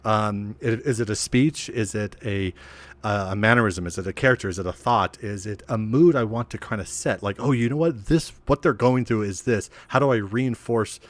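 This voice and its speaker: A man co-hosting a casual podcast, animated and self-deprecating, who restarts his sentences a lot. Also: on the low side, normal speed, no room sound. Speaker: podcast co-host and game master.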